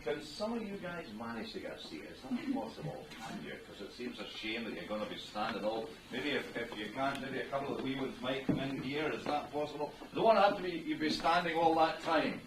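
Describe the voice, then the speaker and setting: A man's voice: mid-range voice, animated, a little fast, few pauses. A middle-aged Scottish man officiating a wedding ceremony, chatty and joking with his guests. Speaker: wedding minister. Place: wedding venue indoors. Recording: roomy, distant mic with venue noise.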